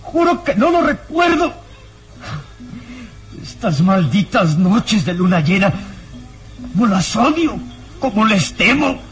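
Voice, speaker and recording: An actor playing a tormented, frightened man under a villain's sway, anguished and halting, in a melodramatic radio drama. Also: sits high, sing-song, a bit bright, soft background music, full character voice. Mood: hysterical, horrified.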